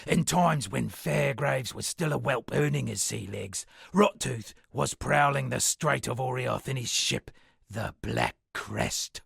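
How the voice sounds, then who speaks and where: An actor playing a self-satisfied town poet and storyteller in a video game, speaking in a florid, dramatic manner with a gravelly, husky voice. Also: male voice, medium pitch, takes it slowly, fairly bright tone, no room sound, clean studio sound, full character voice. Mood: ominous storytelling. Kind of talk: theatrical game dialogue with declaimed verse.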